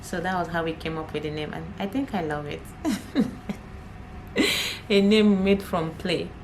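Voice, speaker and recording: A woman's voice: fairly low pitch, moderate pace, noisy background. A young Nigerian woman, an Igbo speaker, chatting casually to camera as a vlogger, laughing often and repeating herself.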